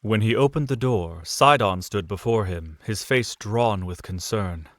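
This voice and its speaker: A man narrating a romantic fan audio drama, measured and soft. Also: fairly low pitch, no room sound.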